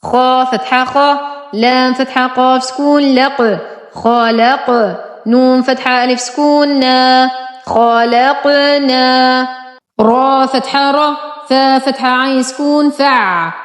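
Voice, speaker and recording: A woman teacher reciting a spelling drill, sounding out each syllable deliberately with drawn-out enunciation. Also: high-pitched, steady tone, reverberant, in a large hall. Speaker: Quran reading teacher. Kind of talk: slow spelling-out Arabic phonics drill.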